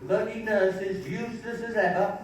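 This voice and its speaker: A man's voice: high voice, fairly even intonation, moderate pace, close to an everyday voice. An older man reading a grumpy, cynical, depressed psychiatric patient in a stage play, sour and sarcastic, in a very echoey, distant recording with faint noise. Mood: scornful. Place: large echoey room, mic at a distance.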